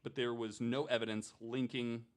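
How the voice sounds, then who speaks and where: A man's voice: medium pitch, level tone, moderate pace. A man hosting a podcast, telling a true crime story in a casual, chatty way with joking asides.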